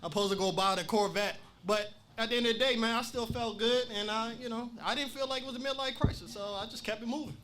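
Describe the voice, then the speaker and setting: An easygoing man in his forties shares a story on a casual podcast. His voice sits high for a man and sounds bright. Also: steady tone, fast talker, hardly any pauses, slight background noise. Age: 40s. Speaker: podcast co-host. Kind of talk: casual chat.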